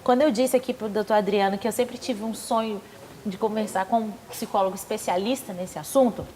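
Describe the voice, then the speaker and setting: A woman hosting a studio talk show, introducing guests with gushing, effusive praise and quick chatty asides. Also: medium pitch, steady tone, dark tone, a little hiss or hum.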